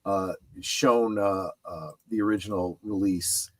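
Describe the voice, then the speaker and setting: A man hosting a casual panel discussion on his channel, halting and emphatic as he thinks aloud. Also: deep voice, fairly even intonation, no echo. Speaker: host of a pop culture streaming channel.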